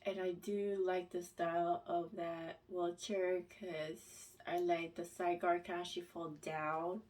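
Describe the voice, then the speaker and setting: A woman talks through a casual question-and-answer vlog in a somewhat low voice. She reads each question aloud and answers slowly, with halting pauses and fillers. Her voice sits a little back from the microphone. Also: flat delivery, a little mellow, distant mic. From United States. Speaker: vlogger.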